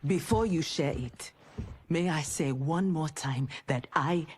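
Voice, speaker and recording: A slow, earnest female warrior. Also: low voice, breathy edge, exaggerated voice. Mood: hesitant, humble. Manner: sincere, formal, careful. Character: earnest, humble female warrior seeking acceptance. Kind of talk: cinematic video game dialogue and narration. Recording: music behind the voice.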